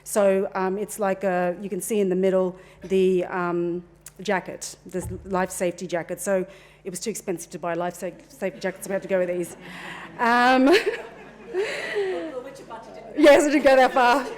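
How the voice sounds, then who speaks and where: A middle-aged woman presenting at a forum into a handheld mic in a conference room, relaxed and chatty with asides. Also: medium pitch, moderate pace.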